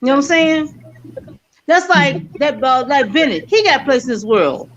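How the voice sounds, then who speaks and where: Insistent preachy middle-aged woman, high voice. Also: lively intonation, moderate pace, fairly bright tone, a little hiss or hum. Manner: preachy, insistent, repeats herself. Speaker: viewing-party panelist. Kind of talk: casual group chat. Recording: slightly dull lo-fi stream audio.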